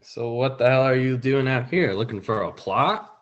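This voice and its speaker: A man's voice: medium pitch, lively intonation, normal speed, fairly bright tone, dull audio, natural voice. A man playing a guarded, wry teenage boy at a script read-through, hesitant and understated. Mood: curious. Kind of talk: table read of a film script over video call. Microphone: video call.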